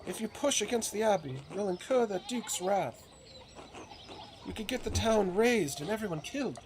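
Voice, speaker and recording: An alarmed townswoman , played by a man, pleads urgently in a raised, high pitch. She is a cautious, worried game character. Clear background noise runs behind the voice. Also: animated, moderate pace. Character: alarmed townswoman urgently warning against revolt. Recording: noisy recording with game ambience.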